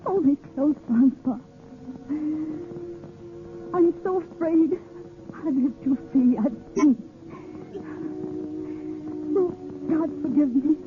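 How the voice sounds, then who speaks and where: A woman's voice: high-pitched, slow pace, pauses often, narrow, tinny audio, soft background music. An actress playing a devoted, lovelorn, brave young woman in a 1930s radio drama, with melodramatic pleading. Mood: dying, afraid. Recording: noisy, thin 1930s radio broadcast.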